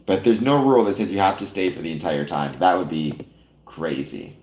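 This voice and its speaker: A man's voice: deep voice, brisk, darkish tone. A man hosting a casual late-night chat stream, talking to viewers with many fillers and self-corrections, a little nasal. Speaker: live stream host. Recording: roomy echo.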